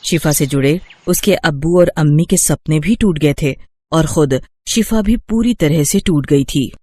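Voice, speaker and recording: A male narrator tells a scripted moral tale. His voice sits somewhat high for a man, with the measured phrasing of storybook reading. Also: a little mellow, dry recording.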